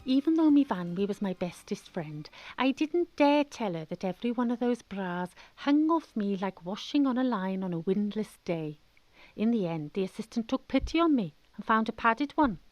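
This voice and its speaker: A woman's voice: medium pitch, animated, moderate pace, no echo, highs cut off. A woman with a Welsh accent giving scripted comic narration for a radio drama, colloquial and wry. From Pembrokeshire, Wales. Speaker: storyteller.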